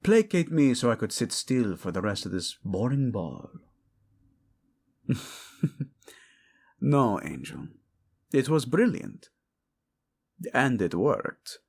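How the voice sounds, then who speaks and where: A man's voice: lowish voice, big pitch swings, slow pace, pauses often, breathy edge, exaggerated voice. A man acting a passionate, protective male royal in a romantic audio drama, devoted to his queen, intimate and commanding, using Spanish endearments. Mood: playful, flirtatious. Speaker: voice actor. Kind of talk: romantic audio roleplay drama addressed to the listener.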